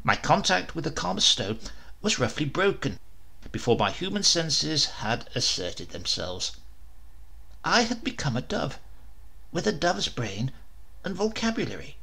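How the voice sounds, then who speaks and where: A man reading a comic story aloud as a first-person narrator, expressive and wry. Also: on the high side, gravelly, husky.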